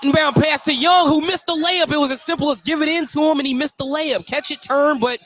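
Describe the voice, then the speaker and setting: High-pitched male basketball play-by-play announcer. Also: steady tone, brisk, fairly bright tone, faint music behind. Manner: clipped running descriptions of the action. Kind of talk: live basketball play-by-play commentary. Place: at a basketball game in a gym.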